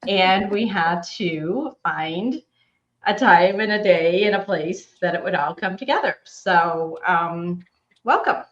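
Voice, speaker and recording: A woman's voice: on the low side, takes it slowly, a bit bright. Chatty woman host, dull lo-fi sound. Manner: chatty, rambling, laughs easily. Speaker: host, company art director. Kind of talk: casual interview.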